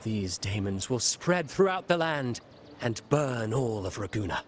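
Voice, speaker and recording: An actor playing an urbane, enigmatic playwright in a video game, speaking with theatrical eloquence and a teasing, ominous edge. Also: male voice, medium pitch, very animated, unhurried, slight background noise. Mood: ominous, dramatic. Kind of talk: scripted video game dialogue.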